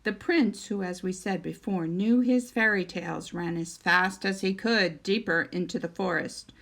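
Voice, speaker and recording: A woman storyteller reading a children's fairytale aloud in an expressive style. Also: lowish voice, normal speed, vocal fry.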